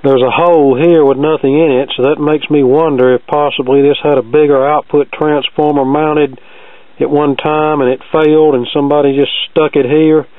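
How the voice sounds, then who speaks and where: A man's voice: on the high side, little pitch movement, normal speed, no echo. A man narrating a hands-on repair in a casual, methodical, matter-of-fact way, as host of his own video. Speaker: host, vintage record player repair hobbyist. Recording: muffled phone-like audio with background noise.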